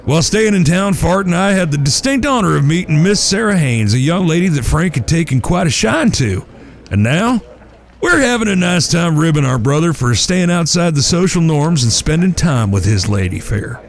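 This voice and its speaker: A wry eldest brother from an Old West radio play acted by a man. His voice is somewhat high with a gravelly creak, and he talks in a folksy, mock-formal, teasing way. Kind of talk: Western audio drama acting.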